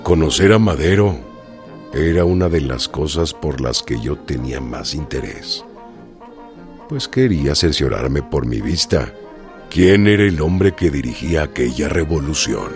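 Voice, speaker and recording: An actor playing a proud, hot-tempered revolutionary chief with a Mexican accent and blunt, defiant delivery in a radio drama. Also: male voice, very deep voice, lively intonation, a little slow, over music, heavily acted. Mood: reflective. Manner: blunt, defiant, drawling commands.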